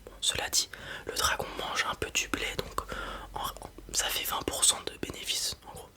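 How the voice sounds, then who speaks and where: A person whispers an ASMR roleplay as a breeder advising a customer, explaining informally step by step with hesitations and little check-ins. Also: moderate pace.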